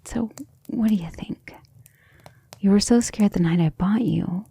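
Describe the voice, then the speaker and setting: A woman playing a gentle, earnest, devoted female vampire in an intimate ASMR roleplay, speaking tenderly and a little hesitantly. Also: medium pitch, unhurried, full character voice. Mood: troubled, doubting. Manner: soft, hesitant, tender, often breathy near-whisper.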